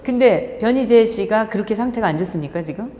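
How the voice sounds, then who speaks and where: A woman filling in as co-host, teasing with dry wit, her voice a little hoarse. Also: medium pitch, animated, brisk, warm tone. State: slightly hoarse. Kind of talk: casual talk show banter. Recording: long hall reverb, faint noise.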